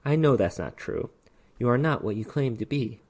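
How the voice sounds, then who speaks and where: A man voicing a calm, stern male ghost hunter in a story reading, speaking formally and deliberately. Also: medium pitch, husky.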